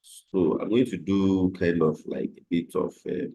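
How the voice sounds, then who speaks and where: Deep husky-voiced man teaching a class. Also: steady tone, dark tone, dull audio. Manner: measured, explains step by step with fillers. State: slightly hoarse from a cold. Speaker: trading instructor. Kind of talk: live online class, chart analysis.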